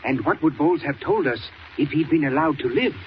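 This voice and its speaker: An actor playing a fussy, genteel older gentleman with a pompous, wordy manner in a 1940s radio serial. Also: a little high, brisk, close to an everyday voice. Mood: grave. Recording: noisy, dull old radio transcription.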